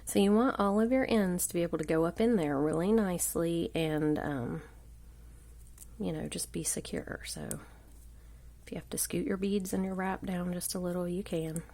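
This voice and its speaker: Congested woman with a gravelly voice. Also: on the low side, expressive, moderate pace, a little mellow. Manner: chatty, rambling, often drops to a murmur. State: congested with a cold. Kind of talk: casual live craft tutorial. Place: indoors at a craft table. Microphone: overhead camera on hands at a table.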